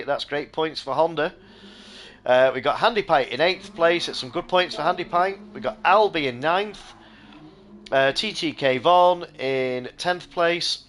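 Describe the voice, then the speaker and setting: A middle-aged man hosting and commentating a sim racing stream, hoarse and close to losing his voice, switching between excited play-by-play and rambling chat with viewers. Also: on the high side. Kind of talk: live-stream race commentary.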